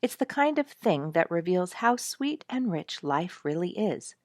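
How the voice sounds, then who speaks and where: A woman storyteller voicing a quiet-spoken, protective and proud father in a gentle, earnest manner. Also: fairly low pitch, big pitch swings, measured pace, no room sound, clean studio sound. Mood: tender.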